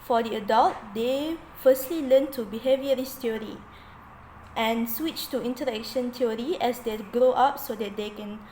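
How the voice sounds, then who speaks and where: A young woman reading out a prepared report in a steady read-aloud way as a student presenter. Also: on the high side, moderate pace, slight background noise.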